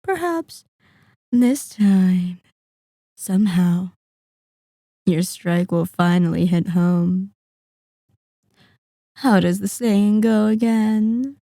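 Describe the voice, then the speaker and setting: A sadistic female vampire , acted by a woman, speaks in a dark, velvety voice at an extremely unhurried pace. The recording is dry and close, in ASMR roleplay style. She is seductive and possessive, condescending to her listener and savoring each phrase between pauses. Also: mid-range voice, expressive, creaky, no room sound, exaggerated voice. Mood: amused, taunting. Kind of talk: scripted ASMR roleplay monologue.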